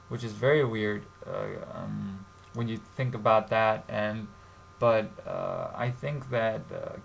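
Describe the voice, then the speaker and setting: A young man from Serbia hosting a casual commentary vlog, talking in a halting way with many fillers and restarts. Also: on the low side, vocal fry, low-quality recording. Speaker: YouTube channel host. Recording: faint background noise.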